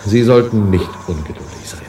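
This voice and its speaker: Man acting an echoey, mellow old scientist. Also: lowish voice, natural voice. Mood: soothing. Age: older. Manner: soothing, unhurried. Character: elderly male scientist, soothing, wistful, quietly eerie. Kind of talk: radio play acting. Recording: echoey room with background hum.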